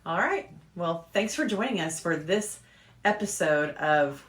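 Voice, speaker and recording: Lower-pitched woman chatting on a podcast. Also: animated, unhurried. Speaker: podcast co-host. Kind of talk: casual chat.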